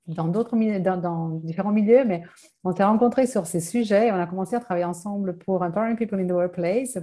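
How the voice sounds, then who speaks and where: A woman's voice: on the low side, warm tone. A woman from Italy whose first language is Italian, introducing herself as an agile coach, with frequent hesitations. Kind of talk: live online workshop presentation.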